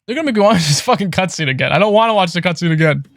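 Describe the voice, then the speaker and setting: A man's voice: high-pitched, lively intonation, racing, a bit bright, no echo, studio quality. A man livestreaming a video game with casual, foul-mouthed, exasperated commentary. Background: video game music.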